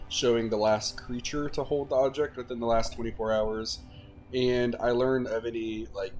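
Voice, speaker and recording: A man's voice: mid-range voice, darkish tone. A man playing a tabletop game, eager and explaining with fillers. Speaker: player.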